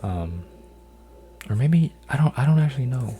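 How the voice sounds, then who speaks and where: A man in his twenties, an ASMR creator, rambling softly with lots of fillers and restarts while folding paper, sometimes dropping to a whisper. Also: mid-range voice, animated, normal speed, breathy edge. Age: 20s. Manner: meandering, full of fillers and restarts. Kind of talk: soft-spoken rambling ASMR.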